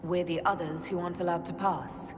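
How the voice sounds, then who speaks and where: A woman's voice: fairly low pitch, flat delivery, a little fast, noisy background, lightly acted. A woman playing a resolute, practical fantasy adventurer in a video game cutscene. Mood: focused, businesslike. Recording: loud music behind the voice. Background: loud music.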